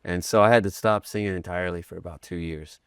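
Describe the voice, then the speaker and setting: A man's voice: sits low, steady tone, quick pace, smooth, no room sound. A man from Texas, a guest musician chatting casually in a podcast studio, rambling and self-deprecating with many fillers and restarts. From Texas, USA. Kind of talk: casual interview chat.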